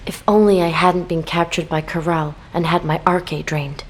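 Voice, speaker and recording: A woman's voice: lowish voice, fairly even intonation, normal speed, a little hiss or hum, lightly acted, poor audio. A woman playing an earnest, self-blaming young soldier in a video game, speaking solemnly and respectfully. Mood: regretful.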